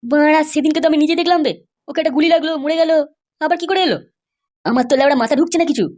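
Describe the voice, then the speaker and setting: A man's voice: extremely high pitch, very fast, darkish tone. A man voicing a grumbling, crude but loyal gang underling in a comedy cartoon. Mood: disbelieving.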